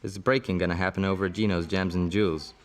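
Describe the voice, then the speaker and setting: An actor playing a young police officer who questions his brother in a steady, persistent way, in an amateur short film. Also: low voice, fast talker, natural voice. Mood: earnest.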